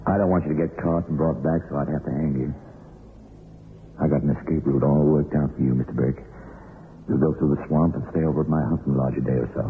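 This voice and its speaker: A rock-bottom deep, weary older sheriff with a folksy voice, brooding over a guilty conscience in an old radio play. The sound is narrow and tinny, with light hiss. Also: male voice. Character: weary, guilt-ridden small-town sheriff and hangman nearing retirement. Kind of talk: old-time radio drama acting.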